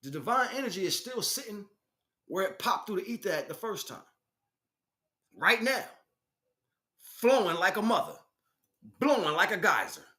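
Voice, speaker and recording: A man's voice: high voice, big pitch swings, normal speed, pauses often, pushed and bright. An American man delivering an informal spiritual monologue as a teacher, speaking emphatically in streetwise slang and addressing listeners directly.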